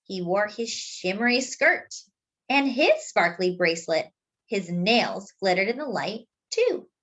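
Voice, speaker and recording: A woman reading a picture book aloud to children expressively, adding simple explanatory asides in a deliberate way. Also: medium pitch, lively intonation, fairly bright tone. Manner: deliberate, explanatory for young listeners. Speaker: children's story reader.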